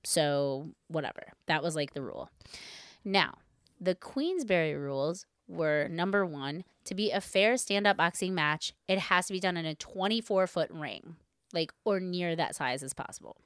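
Female podcast co-host, lower register, clean smooth tone, chatty and playful storytelling. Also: animated, moderate pace, fairly bright tone, no room sound, studio quality. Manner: informal, heavy use of "like", playful asides. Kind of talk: casual chat, retelling a story with asides.

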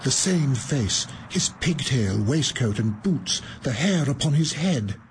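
A man narrating a radio play in a measured, evocative, deliberate way. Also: medium pitch, animated, a little hiss or hum. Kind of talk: dramatic storytelling narration.

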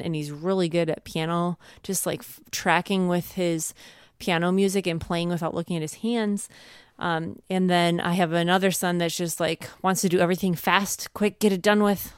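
A middle-aged woman with a fairly low, smooth voice talks casually, as a parent sharing advice. She sounds earnest and encouraging, and the recording is close and dry. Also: no echo. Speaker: homeschooling parent giving advice. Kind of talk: casual conversational advice talk.

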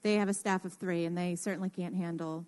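A woman explaining things earnestly and a little haltingly in an informal radio discussion. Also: on the low side, steady tone, a little mellow. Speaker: radio panelist who works in construction.

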